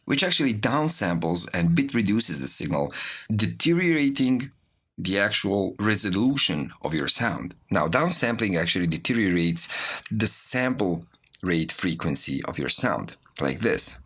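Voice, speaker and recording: A man giving an informal, explanatory music production tutorial, walking through software as an instructor. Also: sits low, very animated, a little slow, breathy edge. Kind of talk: spoken tutorial with software demonstrations.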